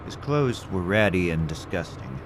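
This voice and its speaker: Man acting deep-voiced, nervous taxi driver. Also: very animated, normal speed, noisy background. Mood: uneasy. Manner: thinks aloud, hesitant. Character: nervous, absent-minded male taxi driver. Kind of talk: audio drama acting. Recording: vehicle ambience behind the voice.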